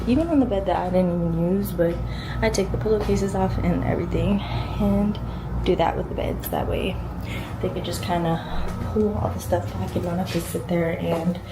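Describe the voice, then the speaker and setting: A woman truck driver vlogging to camera, chatty and rambling, with a raspy voice from being sick. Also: lowish voice, roomy sound, noisy background. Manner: chatty, rambling, says y'all. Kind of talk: casual vlog talking to camera. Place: in and around her truck.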